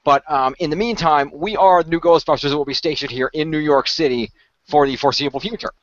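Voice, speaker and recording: Fast-talking man in a dry, dull recording. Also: fairly high pitch, no echo. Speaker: role-play player. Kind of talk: improvised in-character role-play dialogue.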